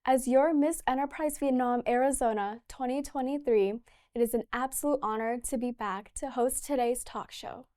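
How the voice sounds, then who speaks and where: A woman's voice: high-pitched, moderate pace, dark tone. A young woman hosting an interview talk show, chatty and casual, peppering her speech with "like". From United States. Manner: chatty, fillers like "like".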